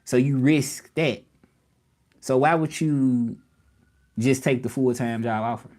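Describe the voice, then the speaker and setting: A man's voice: mid-range voice, slow pace, darkish tone. Rambling Southern man chatting live. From Memphis, Tennessee, US South. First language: English. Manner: rambling, repeats himself, questions the viewers directly. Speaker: live streamer. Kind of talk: casual live stream chat.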